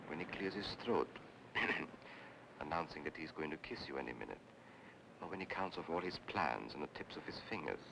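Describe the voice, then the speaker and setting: An actor playing a suave, mysterious psychic in 1940s film noir style, with a measured, theatrical, insinuating manner. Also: male voice, gravelly. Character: suave, mysterious male psychic consultant, softly seductive and insinuating. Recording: noisy old film soundtrack with rumble.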